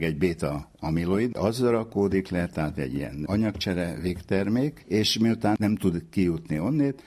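A man with a husky voice, explaining as an expert in an informal, emphatic storytelling way. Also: sits low, a little mellow. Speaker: expert interviewee. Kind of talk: informal explanatory talk on medical history.

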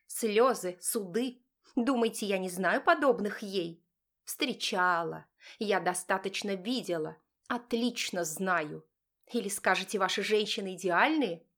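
A woman's voice: mid-range voice, a bit bright, lightly acted. A woman voicing a haughty, cutting, imperious mother guarding her son in an audiobook drama. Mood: indignant, cutting. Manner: cutting, imperious, speaks through clenched teeth.

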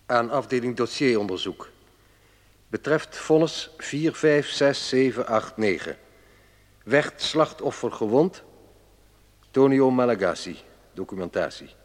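An actor playing a businesslike clerk in a radio drama, reading a memo in a terse, formal way. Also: male voice, medium pitch, lively intonation, measured pace, pauses often, big-room sound, natural voice.

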